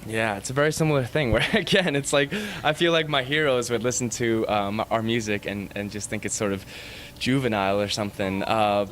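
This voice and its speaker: A man's voice: on the low side, a little fast, a bit bright, slight background noise. A man in his thirties, a band musician, answering interview questions in a hesitant, rambling way full of fillers. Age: 30s. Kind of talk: casual interview.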